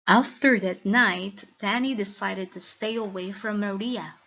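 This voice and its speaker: A woman with a Filipino accent narrating a scripted lesson as a teacher, in a deliberate, instructional manner. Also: medium pitch, gravelly, bright, forceful tone, a little hiss or hum. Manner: deliberate, instructional, checks with listeners. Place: recorded voice-over for slide lesson. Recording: dull, lo-fi voice recording.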